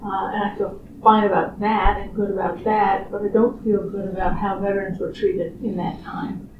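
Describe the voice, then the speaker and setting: A woman in her sixties, a researcher, answering interview questions in a measured, thoughtful way with frequent pauses. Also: medium pitch, level tone. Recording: roomy, distant, muffled recording with clear background noise.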